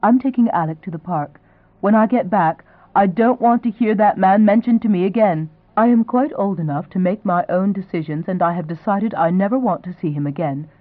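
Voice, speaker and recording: A woman's voice: medium pitch, fairly quick, dry recording, natural voice. A woman voicing a proud young woman who answers curtly and defensively. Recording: tinny, phone-like sound.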